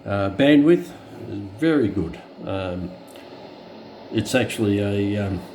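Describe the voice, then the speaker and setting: An Australian man giving a casual, unscripted walkthrough as a hobbyist presenter, hesitant and pausing often. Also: on the low side, expressive, noisy background. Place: indoors.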